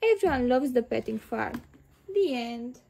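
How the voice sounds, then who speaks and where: Casting: female children's storyteller, high voice with creaky edges, expressive read-aloud narration. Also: unhurried. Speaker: storyteller reading picture books aloud.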